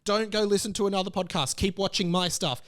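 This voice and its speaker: A man's voice: sits high, fairly even intonation, a little fast, a bit bright. A man hosting a podcast, chatting casually and rambling with lots of fillers and restarts. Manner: rambling, self-interrupting, many fillers. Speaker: podcast host.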